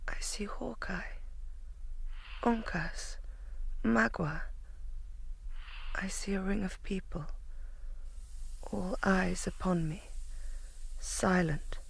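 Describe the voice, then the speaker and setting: An actress playing a brave, proud young captive colonial woman in a radio drama, speaking solemnly and at times in a hush. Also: mid-range voice, vocal fry, slightly rough, heavily acted, low-quality recording. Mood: tense, solemn. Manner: solemn, deliberate, hushed at times.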